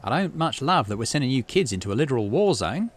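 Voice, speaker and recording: A folksy woman expedition leader from a sci-fi game, acted out by a man. The delivery is caring and plain-spoken, with a very animated rise and fall. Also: medium pitch, fast, voice pushed up. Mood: uneasy warning.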